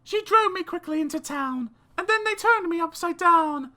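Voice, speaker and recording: A woman's voice: extremely high pitch, lively intonation, moderate pace, pushed and bright. A British woman narrating children's verse as an audiobook reader, theatrical, dramatic and precise.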